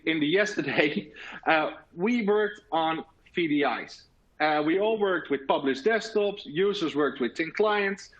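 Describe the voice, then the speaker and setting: A man's voice: on the high side, fairly bright tone, poor audio. A man with a Dutch accent, a native Dutch speaker, presenting earnestly at a virtual conference. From Netherlands. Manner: earnest, many filler sounds and restarts.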